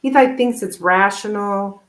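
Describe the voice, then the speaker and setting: A woman hosting a casual live tarot reading, speaking informally and haltingly as if thinking aloud. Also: medium pitch. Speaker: tarot reader, live stream host. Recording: roomy, echoing live stream sound.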